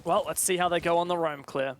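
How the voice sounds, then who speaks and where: A man's voice: a little high, fairly even intonation, fast, slight background noise. A man giving live esports commentary in a chatty, joking, conversational way. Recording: game gunfire and clicks behind voice. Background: game sound with gunshots.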